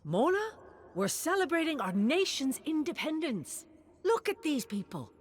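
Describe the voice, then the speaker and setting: A woman's voice: sits high, very animated, measured pace, pitched above the performer's own voice. A woman voicing a cheerful, insistent father in a story, speaking eagerly in a raised voice. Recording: clear background noise, ambience.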